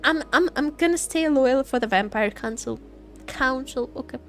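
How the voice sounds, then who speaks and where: A woman's voice: high voice, moderate pace. A woman game streamer chatting casually and playfully over gameplay, often repeating her phrases. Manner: playful, repeats phrases, teasing role-play as a vampire. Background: video game music.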